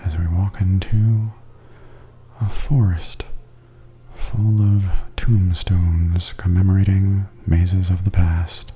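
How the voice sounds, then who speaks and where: A man giving softly spoken ASMR tour commentary in a breathy half-whisper, calmly and gently describing things as a host. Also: deep voice, soft background music. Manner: calm, unhurried, gently descriptive.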